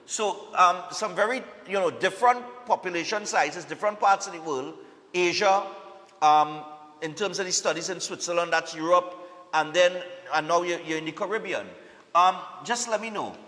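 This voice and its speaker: Chatty host in a reverberant studio. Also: male voice, high voice, fairly bright tone. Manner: chatty, repeats himself, checks in with "all right?". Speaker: morning show host. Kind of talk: live TV morning show chat.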